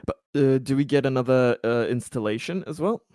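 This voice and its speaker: A man's voice: mid-range voice, a little fast, dark tone, clear, resonant tone, no echo. A male tabletop player discusses game strategy in a casual but analytical way, repeating words as he goes. His voice is mid-range. The sound is dry, with no room on it.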